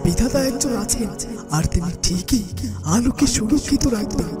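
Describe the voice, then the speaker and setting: A woman playing a calm, reassuring magic orb seer in a fairy-tale cartoon, speaking earnestly in measured short phrases. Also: a little high, close to an everyday voice. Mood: earnest reassurance. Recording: music under the voice.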